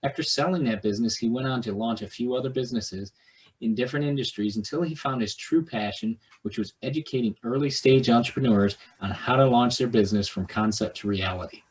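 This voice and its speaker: A man's voice: mid-range voice, brisk, low-quality recording. A man hosting a podcast, reading the guest's bio, then asking loose, rambling questions. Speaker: podcast host. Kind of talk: podcast intro and interviewing.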